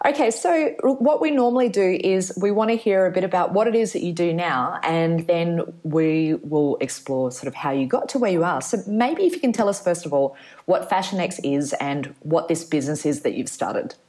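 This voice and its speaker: A woman hosting a podcast interview, asking questions in a friendly, measured way. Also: lowish voice, expressive, a little mellow. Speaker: podcast host.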